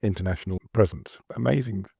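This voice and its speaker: A man co-hosting a medical podcast, quickly and eagerly asking the guests questions. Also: low voice, gravelly, warm tone, husky, no room sound, clean studio sound. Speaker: podcast co-host. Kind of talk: interview questions.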